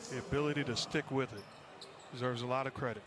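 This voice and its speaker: A man's voice: mid-range voice, fairly even intonation, quick pace, a crowd in the background. A man doing chatty basketball color commentary with analysis and anecdotes. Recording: noisy game broadcast with court sounds.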